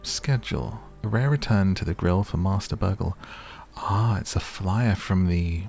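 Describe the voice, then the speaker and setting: Very deep, crackly-voiced man. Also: unhurried, vocal fry, soft background music. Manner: gentle, whimsical musing to the listener. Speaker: gaming video host. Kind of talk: relaxed ASMR gameplay commentary.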